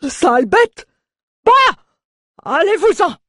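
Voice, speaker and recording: A grumpy male dwarf acted out by a storybook reader, loud and indignant. His voice jumps up high and rough as he grumbles and exclaims with sarcastic scorn. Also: unhurried, dry recording, studio quality. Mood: disgusted.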